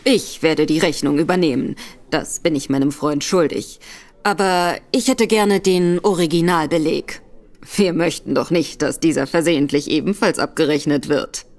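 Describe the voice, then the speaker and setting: A woman voicing a sly, affable gentleman in disguise who probes with feigned forgetfulness, in a radio play. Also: low-pitched, normal speed, pitched below the performer's own voice. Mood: cool, businesslike. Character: smooth gentleman Virgil Barker, a man, sly and probing.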